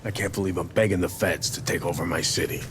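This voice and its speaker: An actor playing a middle-aged New police captain in a video game, blunt, streetwise and skeptical. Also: male voice, low voice, fairly even intonation, fast, over music, close to an everyday voice. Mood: worried, grudging. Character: gruff, skeptical New York police captain, grudgingly warm. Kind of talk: video game cutscene acting.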